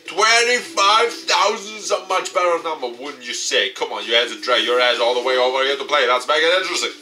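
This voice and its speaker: Man voicing teenage boy gamer, cocky, boastful, excitable, animated swings in pitch, game dialogue. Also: fairly quick, distant mic, faint music behind. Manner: boastful, swaggering.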